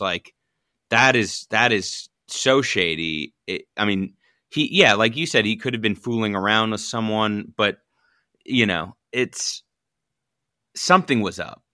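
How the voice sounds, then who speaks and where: A man co-hosting a podcast, chatting casually, agreeable and halting. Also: on the low side, animated, pushed and bright, dry recording, studio quality. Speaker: podcast co-host. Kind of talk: casual chat.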